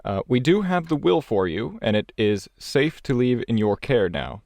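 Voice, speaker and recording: A man playing a courteous, businesslike private investigator, speaking in a measured and formal manner. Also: medium pitch, animated, vocal fry, a little mellow, no echo. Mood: polite, businesslike.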